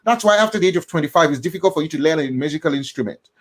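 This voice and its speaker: A man's voice: a little high, animated, racing, fairly bright tone. Nigerian guy explaining brain stuff, emphatic, keeps repeating himself and going "all right?". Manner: emphatic, repeats key phrases, checks in with the listener. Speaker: host.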